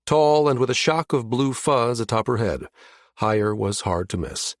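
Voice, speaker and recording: A man reading a science fiction audiobook in a steady, measured narration. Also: medium pitch, dry recording, studio quality. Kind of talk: scripted narration.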